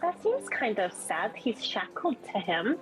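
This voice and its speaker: A woman's voice: medium pitch, very animated, measured pace. A woman roleplaying casually in a tabletop game, chatty and curious as she talks. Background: music.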